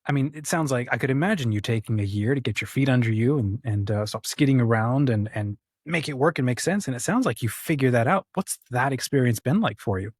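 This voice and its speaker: A man's voice: mid-range voice, expressive, brisk, hardly any pauses, breathy edge, no echo, studio quality. A man hosting a casual interview, asking wordy, meandering questions. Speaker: interviewer, host.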